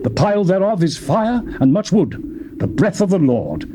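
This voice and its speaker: A man reading scripture aloud in a solemn, declamatory manner, with wide swings in pitch. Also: very animated, moderate pace. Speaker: Bible reader. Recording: loud background noise.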